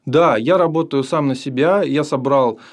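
A man's voice: medium pitch, moderate pace. A man of almost thirty, a podcast guest, talking earnestly about himself with many hesitations. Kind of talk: casual interview.

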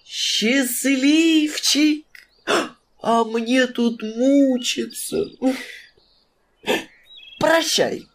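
A woman's voice: high-pitched, very slow, pauses often. Woman playing a lazy, sighing cat. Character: lazy pondering male cat.

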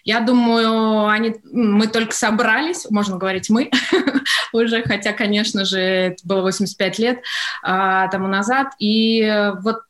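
Woman, radio interview guest, average pitch, explanatory, halting phrasing, unscripted answers. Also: steady tone, a bit bright. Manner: hesitant, explanatory, pieces answers together. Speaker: interview guest, studio executive.

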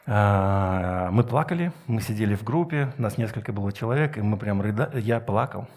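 A middle-aged man giving a Sunday sermon with personal anecdotes, speaking emphatically with deliberate pauses and rhetorical questions. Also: fairly low pitch, animated, darkish tone. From Soviet Union. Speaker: preacher.

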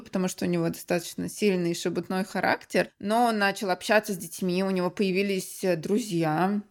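A woman guest on an interview podcast, a teacher of English, telling her story in a lively, conversational way with frequent fillers. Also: mid-range voice. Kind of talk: casual interview talk.

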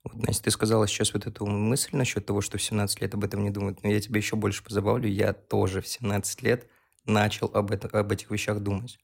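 A man's voice: lowish voice, flat delivery, quick pace, vocal fry, husky. A man in his early twenties chatting on a podcast, explaining his views earnestly and at length. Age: 20s. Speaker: podcast co-host. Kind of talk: casual podcast chat.

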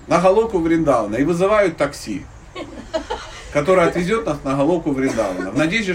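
Animated, higher-pitched man giving an informal lecture. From Dnipropetrovsk, Ukraine. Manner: conversational, blunt slangy wording, teaching through humorous allegories. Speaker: spiritual teacher giving a lecture. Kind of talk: informal religious lecture with audience questions. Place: live talk to a listening group. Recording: distant microphone, faint background noise.

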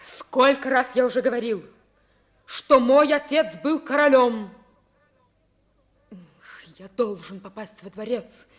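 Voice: mid-range voice, slow, long pauses, lightly acted. A performer playing a haughty, indignant young boy prince in a 1950s radio play, imperious and solemn. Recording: vintage 1950s radio recording.

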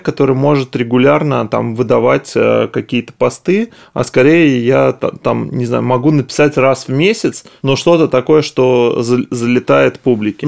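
A man's voice: mid-range voice, vocal fry, darkish tone. A man speaking casually as a company owner being interviewed, thinking aloud in a meandering, informal, slangy way. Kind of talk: casual conversational discussion.

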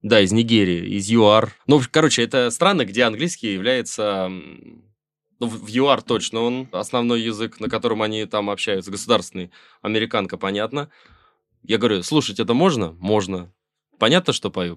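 A man's voice: fairly low pitch, moderate pace, fairly bright tone. A middle-aged Russian man, a musician guest in a casual interview, talking wryly in rambling sentences with many fillers and restarts.

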